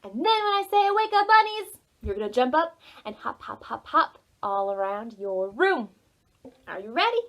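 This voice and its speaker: A woman children's librarian doing an animated read-aloud for preschoolers, expressive, with dramatic pauses and sing-song emphasis. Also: on the high side. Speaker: children's librarian, storytime host.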